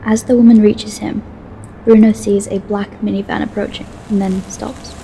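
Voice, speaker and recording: A woman's voice: mid-range voice, level tone, moderate pace, mellow, a little hiss or hum, rough recording. A woman reading scripted story narration for an audio drama.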